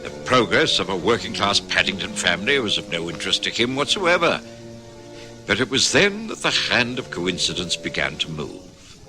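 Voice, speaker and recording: Gentlemanly doctor narrator, low-pitched, expressive range, courteous, measured, radio drama. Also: male voice, over music. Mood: reflective narration.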